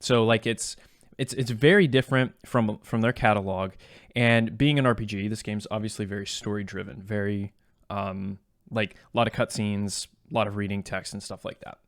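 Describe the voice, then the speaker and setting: A man's voice: on the low side, steady tone, normal speed, no echo, studio quality. A man co-hosting a podcast, chatting casually about video games in a joking, self-deprecating way. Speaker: podcast co-host.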